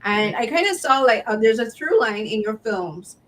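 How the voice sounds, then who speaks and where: A woman's voice: fairly high pitch, lively intonation, moderate pace, fairly bright tone, poor audio. A woman hosting a podcast interview, chatty and enthusiastic with lots of fillers. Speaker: podcast host. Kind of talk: casual interview.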